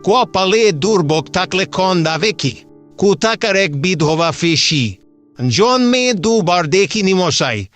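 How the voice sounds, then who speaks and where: Middle-aged small-time seller, high voice, slow dismissive patter, wheedling, chatty, storybook drama, music behind. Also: male voice, lively intonation, a bit bright, exaggerated voice. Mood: grumbling, complaining.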